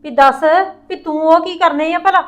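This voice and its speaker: A woman's voice: very high-pitched, moderate pace. A woman playing an outspoken young relative who argues her side. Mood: arguing.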